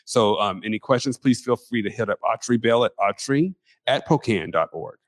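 A man hosting a casual chat show, lively and animated in his talk. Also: fairly low pitch, moderate pace, no echo. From Pacific Northwest. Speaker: host.

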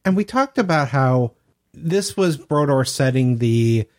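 A man's voice: mid-range voice, expressive, measured pace. A man co-hosting a podcast in a casual, conversational style. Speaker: podcast co-host. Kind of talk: casual discussion.

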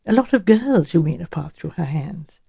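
A woman reader plays an elderly English lady sleuth in a mystery audiobook. She is courteous and shrewd, choosing her words precisely, with tentative, probing phrasing and an expressive lilt. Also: low-pitched, normal speed, vocal fry, husky, pitched below the performer's own voice, heavily acted. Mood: gently probing.

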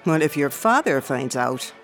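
A woman acting in a radio drama as a concerned, compassionate society aunt, earnest and expressive in her delivery. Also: low voice, moderate pace, gravelly, faint music behind, exaggerated voice. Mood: earnest, soothing.

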